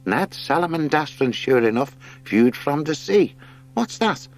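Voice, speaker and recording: An ancient hare from an animal storybook, put on by a man. The voice is raised high and swoops about, quavering, polite and old-fashioned. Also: animated, unhurried. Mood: fascinated. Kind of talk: audiobook character acting.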